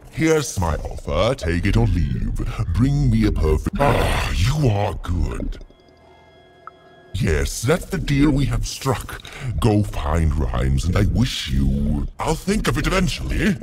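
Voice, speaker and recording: A pompous, deep-voiced, swooping mayor. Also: male voice, a little mellow, full character voice. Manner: theatrical, pompous, halting attempts at rhyme. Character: pompous, touchy, scheming upside-down mayor who rhymes badly. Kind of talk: video game character acting. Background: game music.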